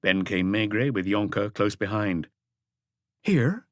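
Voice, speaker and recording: Low-voiced male audiobook narrator, dry sound. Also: lively intonation, moderate pace, no echo. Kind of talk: scripted mystery narration.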